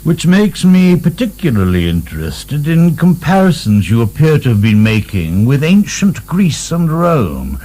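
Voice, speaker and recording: An actor playing a suspicious revolutionary official in a radio drama, questioning in a silkily polite, pointed way that carries menace. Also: male voice, on the high side, very animated, unhurried, few pauses, warm tone. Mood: silkily probing. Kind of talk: British radio drama acting.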